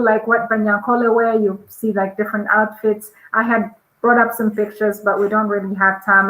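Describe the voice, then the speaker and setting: A woman's voice: medium pitch, pushed and bright. A woman hosting a panel on a video call, chatting casually with frequent fillers. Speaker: host. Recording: roomy echo.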